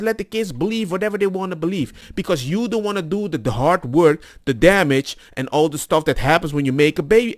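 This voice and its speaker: Animated high-pitched man reacting. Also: dry recording, studio quality. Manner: animated, exasperated, mimics opponents mockingly. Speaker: reaction video host. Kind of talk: casual off-the-cuff reaction commentary.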